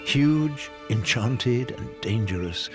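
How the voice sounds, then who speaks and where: A man narrating a scripted nature and folklore documentary, telling it in a measured, dramatic storyteller's way. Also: mid-range voice, expressive. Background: clear background music.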